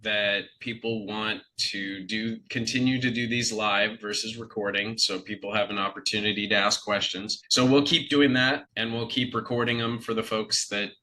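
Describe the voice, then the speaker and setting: A man with a nasal, congested voice from a cold, giving a measured market update in a live webinar, often restarting phrases. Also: lowish voice, fairly even intonation, pushed and bright, poor audio. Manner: measured, explanatory, with frequent restarts. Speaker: financial advisor.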